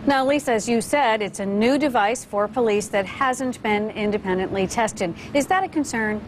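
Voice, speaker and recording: A woman's voice: a little high, normal speed, slight background noise. A woman presenting broadcast news as an anchor.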